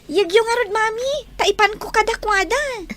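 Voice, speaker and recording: A woman's voice: very high-pitched, moderate pace, a bit bright, slight background noise. A woman with an Ilocano accent acting a chatty, affectionate young daughter in an audio drama. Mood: bright, affectionate.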